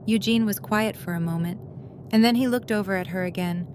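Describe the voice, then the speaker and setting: A woman's voice: mid-range voice, fairly quick, a little mellow, a little hiss or hum. A woman reading scripted narration as the narrator of an audio drama.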